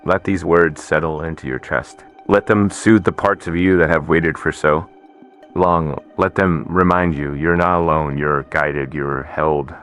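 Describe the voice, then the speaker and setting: Very deep-voiced man guiding a meditation. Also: steady tone, soft background music. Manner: slow, soothing, softly spoken. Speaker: meditation guide. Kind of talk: guided affirmation meditation.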